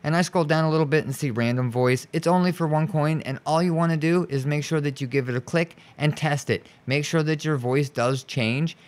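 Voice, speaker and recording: Higher-voiced man giving a casual tutorial. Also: brisk, hardly any pauses. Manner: informal, instructional. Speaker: tutorial host. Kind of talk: casual step-by-step software tutorial. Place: indoors, voice-over on a screen recording.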